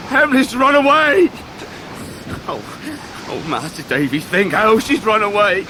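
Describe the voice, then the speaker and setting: An actor playing a heartbroken, honest young fisherman in a period radio drama, halting and deferential. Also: high voice, big pitch swings. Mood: anguished. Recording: noisy 1990s radio drama recording.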